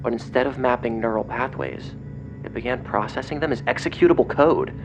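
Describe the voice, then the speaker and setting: A man playing an agitated investigator in an audio drama, speaking in a grave, halting, intense way over a phone. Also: mid-range voice, over music, close to an everyday voice. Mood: grave reading. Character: agitated male investigator relaying grim secret documents by phone. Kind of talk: audio drama acting, partly reading documents aloud. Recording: dull lo-fi phone call, clear noise.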